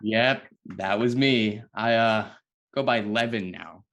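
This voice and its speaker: A man's voice: fairly low pitch, takes it slowly, a bit bright. Man as dry, guarded young ex-sidekick. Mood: dry, awkward. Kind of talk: amateur table read acting.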